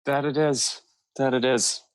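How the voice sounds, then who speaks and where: A man's voice: mid-range voice, fairly even intonation, measured pace, clear, resonant tone, no echo. A man in his thirties chatting casually and interjecting as a guest on a podcast.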